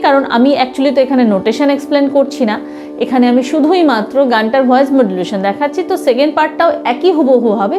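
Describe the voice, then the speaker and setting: Female music teacher, high voice, emphatic explanatory delivery, instrument playing behind. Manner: explanatory, emphatic, with English terms mixed in. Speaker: singing teacher. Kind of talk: instructional music lesson to camera.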